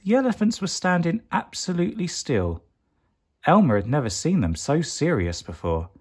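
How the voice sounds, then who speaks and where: A man reading a children's picture book aloud as a bedtime story, expressively and deliberately, stretching key words for emphasis. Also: on the high side, big pitch swings, a little mellow, husky.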